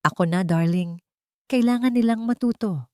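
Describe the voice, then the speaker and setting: Female narrator as imperious rich Filipina for audio drama; sugary yet cutting, raised pitch, dry sound. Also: normal speed, no room sound, natural voice. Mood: sweetly insistent. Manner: sweet but sharp, imperious. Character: haughty, demanding rich young woman, sweetly cutting. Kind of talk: audio drama character reading.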